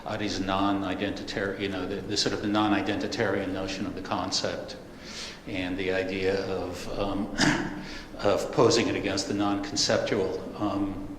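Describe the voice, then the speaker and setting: A man's voice: low-pitched, flat delivery, normal speed, a bit bright. A man in the audience asking a question from the floor, rambling and hesitant, with many fillers. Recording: echoey hall with long reverb, faint noise.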